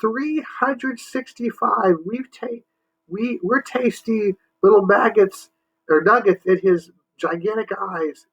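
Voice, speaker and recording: A man voicing a crowd of panicky little Martians with a high, excited squeal, on a muffled lo-fi recording. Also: takes it slowly, few pauses, breathy edge, full character voice. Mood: frightened.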